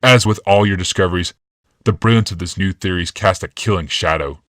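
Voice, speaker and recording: Audiobook role: manipulative male genius read by a man, low-pitched, smug, casually needling, crisp dry sound. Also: brisk, a bit bright, dry recording. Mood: taunting. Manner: casual, taunting, smooth explaining.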